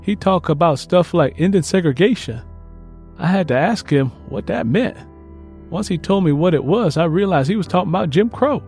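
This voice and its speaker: A man voices a young country soldier writing home in an audiobook story. He is earnest and plain-spoken, with rural Southern phrasing and a fairly high voice over faint music. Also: expressive, moderate pace, a little mellow. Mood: earnest, reflective. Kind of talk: first-person letter read in audiobook.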